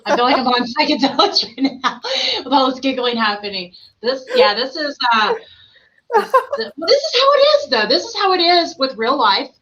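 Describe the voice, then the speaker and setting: A woman's voice: high-pitched, very animated, normal speed, bright, forceful tone, lo-fi sound. A woman with a mild Southern accent hosting a casual chat show, giggly and rambling. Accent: mild Southern US, mixed with Ohio. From Tennessee. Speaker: podcast host.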